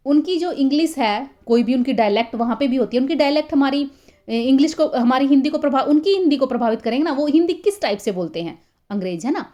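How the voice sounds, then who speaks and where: A woman's voice: high voice, animated, fast, mellow, lo-fi sound. A woman teaching a lecture, in a conversational way that repeats points and keeps checking with listeners. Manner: conversational, repeats herself, many fillers and checking questions. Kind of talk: explaining literary theory in a recorded lecture.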